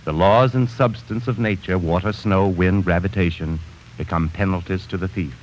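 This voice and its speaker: A man's voice: low-pitched, moderate pace, a little hiss or hum, natural voice. An actor playing an arrogant, bookish man who scorns sentiment, in a 1940s radio drama. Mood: lecturing. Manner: haughty, condescending. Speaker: narrator reading his journal. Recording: muffled 1940s radio broadcast.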